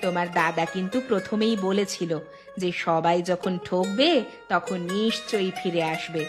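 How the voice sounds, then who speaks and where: A woman voicing a calm, forgiving village food-seller's wife in a moral cartoon, gently admonishing. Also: fairly low pitch, normal speed, over music, lightly acted. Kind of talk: Bengali moral cartoon acting.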